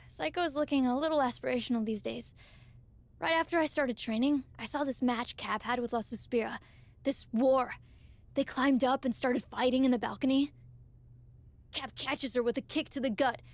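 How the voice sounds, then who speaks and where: A woman's voice: high-pitched, no echo. An actress playing a plucky, principled young female pro wrestler in a video game cutscene, earnest, emphatic and colloquial. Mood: wistful, admiring.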